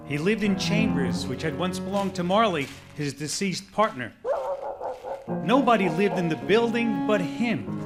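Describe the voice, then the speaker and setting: A man telling a story as narrator in a live radio drama, with dramatic delivery. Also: sits high. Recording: loud music behind, live stage.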